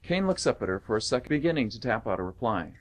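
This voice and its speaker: A male narrator reads scripted stage directions in a radio play. His pitch moves around in a lively way, sitting in an ordinary middle register. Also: fast.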